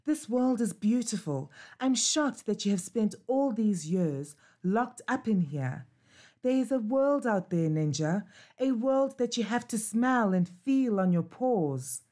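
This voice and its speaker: A woman's voice: medium pitch, a little slow, pitched above the performer's own voice, close to an everyday voice. A woman voicing a restless, curious young male puppy in a storybook, with an eager, pleading manner. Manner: pleading, excited.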